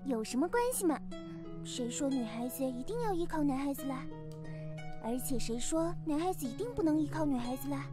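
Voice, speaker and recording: A woman's voice: high voice, expressive, brisk. A woman voicing a cheerful, teasing teenage girl in a visual novel, sweet and playful. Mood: playful, reassuring. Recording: music playing behind the voice.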